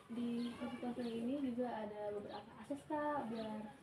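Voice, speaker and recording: A woman's voice: a little high, normal speed. A young woman playing a counselor in a practice session, speaking hesitantly with many filler sounds. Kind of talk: role-played counseling session. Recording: echoey room, distant mic, noise.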